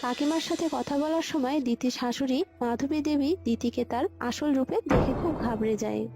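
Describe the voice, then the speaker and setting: A woman's voice: high voice, fairly quick. A woman reading scripted narration for a story. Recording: loud background music. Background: music.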